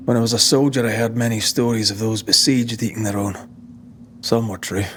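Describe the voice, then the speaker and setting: Actor as a low-voiced, weary ex-soldier. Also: male voice, little pitch movement, fairly quick, a bit bright, a little hiss or hum, lightly acted. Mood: somber. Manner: grave, terse, restrained. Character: weary, resolute ex-soldier ghost-hunter, devoted to his lover. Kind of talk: video game cutscene acting.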